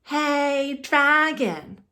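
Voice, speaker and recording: A woman's voice: high voice, lively intonation, very slow, sharp tone, pitched above the performer's own voice, full character voice. A woman voicing a bold, cunning young princess from a storybook, speaking in an emphatic, challenging way. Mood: defiant shouting.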